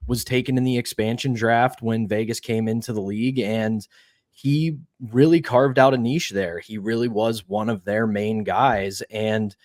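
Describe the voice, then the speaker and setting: A low-voiced man hosts a hockey podcast in a measured, stats-driven way. His voice sounds dry and close, with no room around it. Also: fairly even intonation, darkish tone, no room sound. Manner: measured, stats-driven, asks co-host questions. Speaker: podcast host. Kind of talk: casual sports podcast talk.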